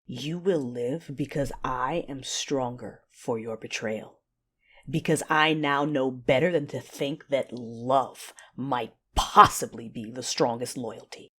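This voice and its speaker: A woman playing a betrayed, commanding dark-side warrior in an audio drama, narrating in the first person with intense, deliberate menace. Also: very deep voice, slightly rough, full character voice. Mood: cold, commanding.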